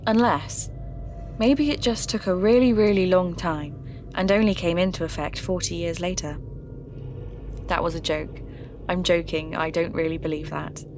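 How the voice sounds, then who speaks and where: Folklore podcast host female actor: slightly low voice, reflective, earnest scripted narration, confiding delivery. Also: moderate pace, music in the background, lightly acted. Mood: musing, wry. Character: earnest, curious woman hosting a folklore investigation podcast.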